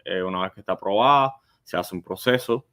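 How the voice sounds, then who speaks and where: Male lawyer guest, fairly low voice, plain-spoken explanatory advice, step by step. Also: a little fast, bright, forceful tone, clear, resonant tone. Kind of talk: live legal advice, explanatory.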